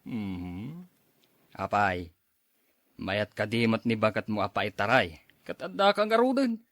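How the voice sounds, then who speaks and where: A man's voice: on the low side, big pitch swings, a little slow, a bit bright, dry recording, clean studio sound. A man playing an easygoing drinking buddy who gives frank advice in a radio drama, talking casually. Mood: casual, advising.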